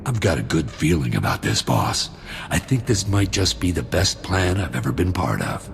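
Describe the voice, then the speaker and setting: An actor playing a cynical, greedy mercenary soldier and arms dealer in a video game, with a gravelly voice and a wry, laid-back manner. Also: male voice, big pitch swings, normal speed, noisy background, exaggerated voice. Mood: pleased, confiding. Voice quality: very deep, gravelly. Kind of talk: video game cutscene dialogue.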